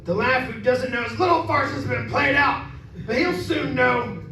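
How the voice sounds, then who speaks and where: A man playing a high, blustering stubborn father. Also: moderate pace, sharp tone, exaggerated voice. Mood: defiant, blustering. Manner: brash, barking orders. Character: blustering stubborn skeptical father, ready to punch. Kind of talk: old-time radio drama acting. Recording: distant, echoey room with background noise.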